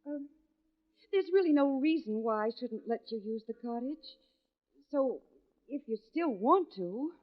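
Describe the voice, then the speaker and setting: A woman's voice: a little high, expressive, measured pace. An actress playing a lonely, sheltered and polite young woman in 1940s radio drama style. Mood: polite, hesitant. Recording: tinny narrow-band 1940s radio recording.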